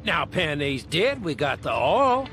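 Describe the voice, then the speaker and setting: A man's voice: on the high side, very animated, measured pace. A man playing a jovial, middle-aged American spy mentor in a video game, with a folksy, breezy manner. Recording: game audio with loud music, gunfire.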